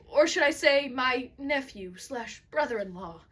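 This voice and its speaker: A woman's voice: high-pitched, expressive, measured pace, pushed and bright, husky, exaggerated voice. A woman playing a formal, dutiful, slightly wry male courtier in an amateur puppet show. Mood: solemn, wry.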